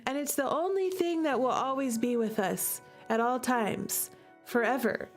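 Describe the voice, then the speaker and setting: A woman streamer with a fairly high voice talks to her chat at a slow, unhurried pace. She is gushing and emphatic, often repeating a phrase for weight, with music playing behind her. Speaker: streamer playing a visual novel. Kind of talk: livestream commentary, chatting with viewers.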